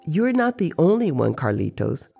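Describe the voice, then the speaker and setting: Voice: medium pitch, very animated, normal speed, gravelly, warm tone, husky, faint music behind, full character voice. A reader voicing a teasing male sorcerer who tells tales of his dreams in an audio story. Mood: storytelling.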